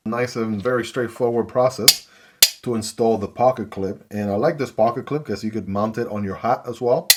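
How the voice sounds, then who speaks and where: Man, low voice, laid-back, chatty, gadget unboxing vlog. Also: noisy background. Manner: easygoing, chatty, filler-heavy. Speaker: gear reviewer. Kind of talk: casual unboxing review.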